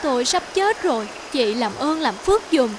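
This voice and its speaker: A woman voicing a pushy, ungrateful female hedgehog in an animated fairy tale. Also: high-pitched, normal speed, background noise. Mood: pleading. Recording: music under the voice.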